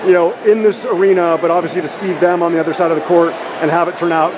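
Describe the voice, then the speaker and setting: Brief: high-voiced male sports interviewer, quick and rambling, noisy arena hallway. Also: flat delivery. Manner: long rambling questions full of fillers. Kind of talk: postgame interview. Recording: noisy background.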